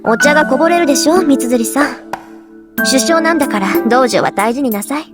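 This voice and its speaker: A woman's voice: high-pitched, rapid-fire, natural voice. A voice actress playing a composed, ladylike schoolgirl who teases dryly, in a game voice clip with music under it. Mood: cool. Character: poised, cool-headed schoolgirl who hides her true self.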